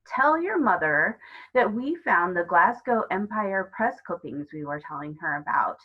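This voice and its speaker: Woman doing a husky, chatty old lady. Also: lowish voice, expressive, measured pace, dull audio. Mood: chatty, polite. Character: chatty, kindly elderly lady neighbour.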